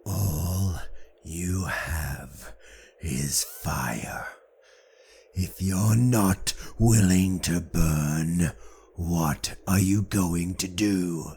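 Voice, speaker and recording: An actor playing a vicious, wounded mage-hunter chief in an audio drama, snarling and menacing with a gravelly, rasping voice. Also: male voice, low voice, very slow, darkish tone, full character voice. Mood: menacing taunt.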